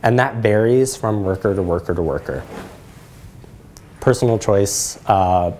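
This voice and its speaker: A man's voice: lowish voice, measured pace, darkish tone. A man around forty with an American accent, explaining things as a presenter taking questions in a lecture room.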